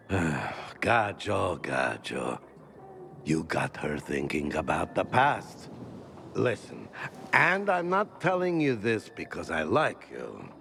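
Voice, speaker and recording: An actor playing a gruff, drunken, blunt man who is grudgingly friendly, in a video game. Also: low voice, huge pitch swings, takes it slowly, fairly bright tone, slight background noise, exaggerated voice. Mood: grudging, confiding. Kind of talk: video game character acting.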